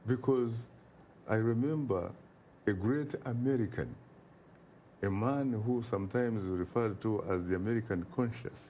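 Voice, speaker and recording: Low, mellow man, oratorical. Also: a little hiss or hum. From Uganda. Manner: emphatic and oratorical, repeats phrases for effect. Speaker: interview guest. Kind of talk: impassioned interview talk.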